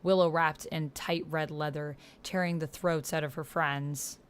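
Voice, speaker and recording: Woman, low voice, unhurried, casual game streamer, slangy, faint noise with keyboard typing. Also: fairly even intonation. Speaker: streamer playing a text-based roleplaying game. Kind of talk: casual livestream chat, reading typed game narration aloud.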